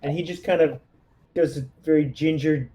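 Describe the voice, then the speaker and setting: Mellow man playing a tabletop game. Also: fairly high pitch, steady tone, normal speed, dull audio, a little hiss or hum. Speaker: tabletop roleplaying game player. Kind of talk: casual in-game narration and table chat.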